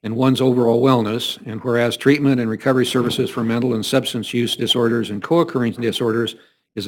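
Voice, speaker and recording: Мужчина, член городского совета, размеренно зачитывает официальное воззвание голосом средней высоты. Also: male voice, medium pitch. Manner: steady, measured reading with small slips. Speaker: city councilmember. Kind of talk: formal reading of a proclamation.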